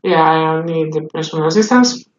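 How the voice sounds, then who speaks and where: A woman's voice: low voice, normal speed. Woman, roomy dull sound. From Greece. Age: 30s. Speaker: journalist and activist. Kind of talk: documentary interview. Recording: roomy, dull, slightly noisy.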